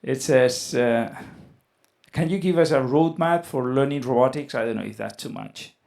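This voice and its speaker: A man hosting an online interview on a video call, soft-spoken and quick to laugh, asking questions and thanking his guest. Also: mid-range voice, creaky. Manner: soft-spoken, laughs often, short acknowledgments. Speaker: conference host, interviewer.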